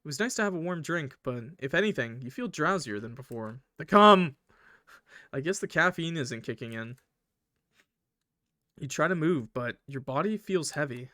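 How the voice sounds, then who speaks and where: A man with a bright, slightly high voice narrates a visual novel for a casual let's play. He slips between reading aloud and informal, crude joking commentary. The sound is close and dry. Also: animated, normal speed, dry recording. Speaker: gaming video host.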